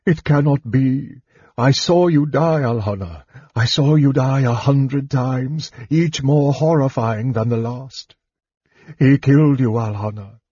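A man's voice: mid-range voice, warm tone, slightly rough, no room sound, lo-fi sound. Man voicing an anguished, strained old elf king. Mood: anguished disbelief. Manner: anguished, halting exclamations. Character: tormented, dying old elf king, frantic and haunted.

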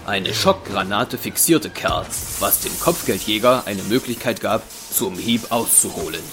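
An actor as the sober narrator of an adventure audio drama, reading scripted narration. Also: male voice, lowish voice, expressive, a little slow, over loud music. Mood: sober, suspenseful.

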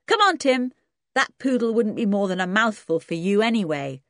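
A woman doing a brisk, bold tomboy girl. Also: mid-range voice, lively intonation, a bit bright, dry recording, clean studio sound, pitched above the performer's own voice. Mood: defiant. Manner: brisk, defiant.

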